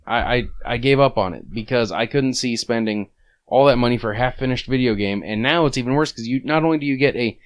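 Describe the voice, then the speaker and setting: A man's voice: mid-range voice, fast talker. A man co-hosting a casual gaming podcast, grumbling and ranting with profanity. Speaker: podcast co-host.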